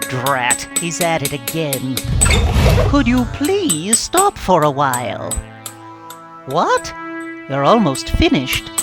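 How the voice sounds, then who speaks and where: Slow, theatrical horror hostess, acted by a woman. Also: low voice, heavily acted. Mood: exasperated. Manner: theatrical, teasing, deliberate. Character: playful campy female horror hostess. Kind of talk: scripted comic wraparound hosting. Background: music.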